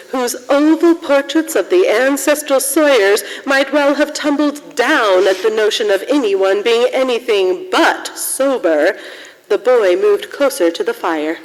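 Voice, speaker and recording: A woman narrating a radio drama story in a measured, literary manner. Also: fairly high pitch, lively intonation. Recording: echoey hall with long reverb.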